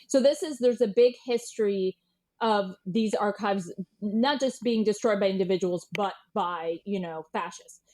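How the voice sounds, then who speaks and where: A woman's voice: medium pitch, measured pace, darkish tone. A woman speaking as an interview guest, telling stories conversationally in a blunt, casual, sweary way. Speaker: interview guest, writer and historian. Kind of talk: animated conversational storytelling.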